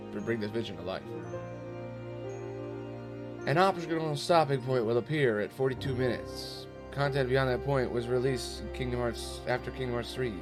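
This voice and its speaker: A man giving casual, jokey reaction commentary as a streamer. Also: mid-range voice. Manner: jokey, sings nonsense syllables and exclaims. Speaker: streamer reacting to a fan dub. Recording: music behind the voice.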